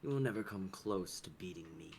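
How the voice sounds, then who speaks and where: A man's voice: low voice, animated, creaky, a little mellow, a little hiss or hum. A man playing an arrogant, scornful castle overlord who talks down to challengers in a dramatic script reading. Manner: condescending, measured.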